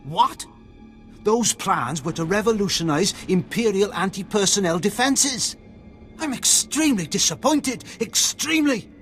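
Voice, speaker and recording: A petulant Imperial agent in a video game, with faint music behind. He is rather high-voiced and animated, clipped and huffy, always minding his own skin. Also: a little slow. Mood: indignant. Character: self-serving, petulant male Imperial agent. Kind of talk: video game cutscene acting.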